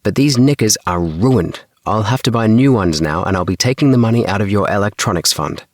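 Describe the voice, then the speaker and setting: A man's voice: on the low side, lively intonation, fairly quick, no room sound, voice pushed up. A man voicing a stern, sceptical and slightly smug mother in a children's storybook. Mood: grudging, cross. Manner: stern, disbelieving.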